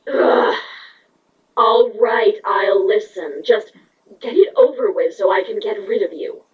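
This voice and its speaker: A haughty female changeling queen played by a woman speaks slowly and grudgingly, with irritable curtness. The voice sits at a medium pitch, and the lo-fi recording sounds a little dull, like an amateur fantasy audio drama. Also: natural voice. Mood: grudging, irritated. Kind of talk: amateur dramatic fan fiction reading.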